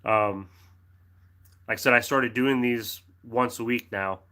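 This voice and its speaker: A middle-aged American man hosting a casual livestream, reading out viewer comments in a friendly, rambling way with restarts and throat clearing, his throat dry and a cough drop in his mouth. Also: medium pitch, steady tone, takes it slowly, pushed and bright. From United States. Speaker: livestream host reading chat. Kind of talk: casual live chat.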